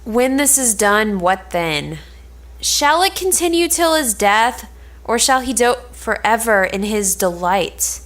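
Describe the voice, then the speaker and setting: A woman's voice: fairly high pitch, animated, takes it slowly, a bit bright. Woman playing a sensible, disapproving confidante. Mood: questioning. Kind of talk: cold-read Elizabethan stage drama.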